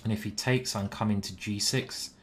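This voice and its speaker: A young man from Britain gives casual live commentary on his own chess game, thinking aloud between moves and joking with viewers. His voice sits low and easy. A faint background noise runs under the stream. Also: steady tone, moderate pace.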